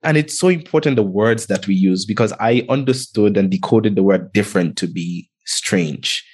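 Young man from Antigua, low voice, reflective, chuckles as he talks. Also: animated, a little mellow, husky. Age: young adult. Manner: reflective, chuckles often, quick restarts. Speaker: guest. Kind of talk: casual interview storytelling.

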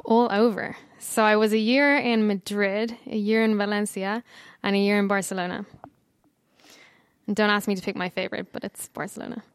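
Smooth-voiced Dublin woman, chatty. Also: medium pitch, flat delivery, normal speed, no echo. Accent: Irish, Dublin. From Ireland. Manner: chatty, warm storytelling with fillers. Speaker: guest. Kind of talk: casual interview chat.

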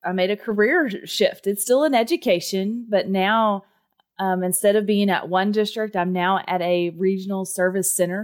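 A woman's voice: mid-range voice, clear, resonant tone. A woman with a Texas accent, chatting through her career story as an interview guest, with frequent ums and uhs. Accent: Texan. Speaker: guest, education administrator. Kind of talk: conversational interview answers.

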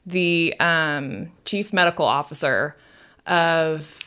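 A woman hosting a casual podcast, explaining things in a chatty, conversational way and quick to laugh. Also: low-pitched, sharp tone, clear, resonant tone. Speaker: podcast host.

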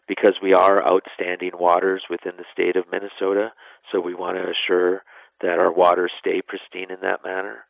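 A man's voice: low-pitched, creaky, no room sound, narrow, tinny audio. A man answering interview questions informally as an expert, explaining things and sometimes letting sentences trail off. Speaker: national park superintendent, interview guest.

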